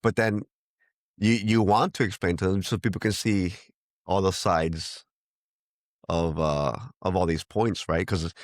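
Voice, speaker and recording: A man's voice: sits low, fairly even intonation, unhurried, dry recording, studio quality. A man whose first language is Spanish, speaking English with a Venezuelan-tinged accent, telling stories in a rambling casual chat with lots of restarts and quoted dialogue. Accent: Venezuelan Spanish-tinged American English. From United States. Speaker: podcast guest. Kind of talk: casual conversation.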